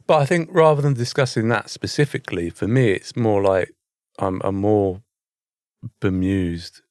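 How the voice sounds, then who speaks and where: A British man with a fairly low voice talks casually as a podcast co-host, recorded close and dry. He rambles in a bemused way, often reaching for the right word. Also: normal speed, darkish tone, dry recording. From United Kingdom.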